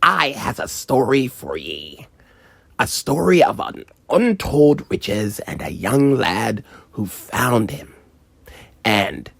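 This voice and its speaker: A storyteller voicing a gruff old pirate captain's ghost with growling pirate dialect, nostalgically telling a children's storybook tale. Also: medium pitch, sing-song, slow pace, no room sound, heavily acted. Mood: proud, nostalgic. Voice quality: gravelly, growling.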